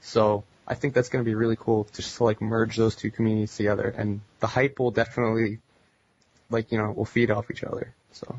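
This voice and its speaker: A man talks casually in a husky, fairly flat voice. The recording is close and dry, with a slightly lo-fi sound. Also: fairly low pitch, a little fast, no room sound. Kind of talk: casual chat.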